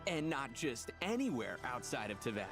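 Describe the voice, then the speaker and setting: A man's voice: mid-range voice, sing-song, normal speed. A man hosting a scripted, upbeat promotional game showcase as its presenter. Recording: faint music under the voice. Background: light background music.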